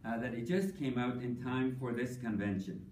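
An older man speaks at an academic tribute, reading prepared remarks in a measured way, sprinkled with ums and dry humor. He is heard from a distance in an echoey conference hall with a faint hum of ambience. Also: mid-range voice, a little mellow. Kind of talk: prepared tribute speech with anecdotes.

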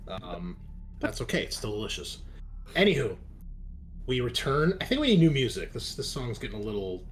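A man's voice: medium pitch, sing-song, normal speed, a little hiss or hum. A man hosting as game master, chatting casually and explaining the scene to players and listeners in a loose, conversational way.

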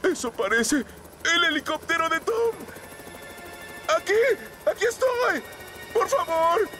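A man's voice: very high voice, very animated, slow pace, pushed and bright, faint music behind, exaggerated voice. A man playing a timid, lost bus driver in a cartoon, anxious and pleading, with a Latin American Spanish accent. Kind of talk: animated cartoon dub.

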